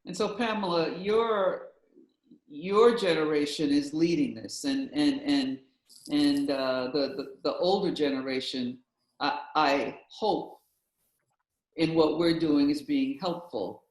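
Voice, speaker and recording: Male moderator, very slow and halting, voice a bit high, echoey room. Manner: halting, repeats words while asking questions. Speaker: panel moderator. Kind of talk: panel discussion.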